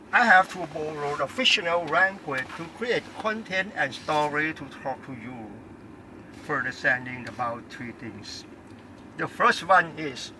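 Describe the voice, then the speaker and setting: Man, Thai accent, Buddhist teacher, earnest and emphatic, gravelly voice, distant mic, loud traffic noise. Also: a little high. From Thailand. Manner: earnest, emphatic, repeats key phrases. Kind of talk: slow deliberate Dharma talk.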